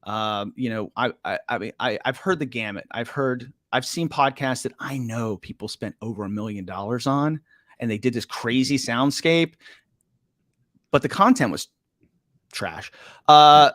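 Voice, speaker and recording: Halting middle-aged man host, dry sound. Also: mid-range voice, a bit bright, no echo. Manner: rambling, halting questions with many restarts. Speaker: podcast host. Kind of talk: casual interview talk.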